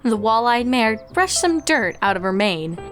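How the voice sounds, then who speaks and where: A woman's voice: a little high, very animated, a little slow, fairly bright tone. A woman reading fan fiction aloud as scripted storybook narration, with music behind.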